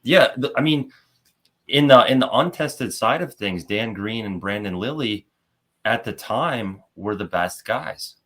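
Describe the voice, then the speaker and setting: A man hosting a podcast, talking in a relaxed, conversational way and asking his guest questions. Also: fairly low pitch, measured pace. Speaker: podcast host. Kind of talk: casual podcast interview.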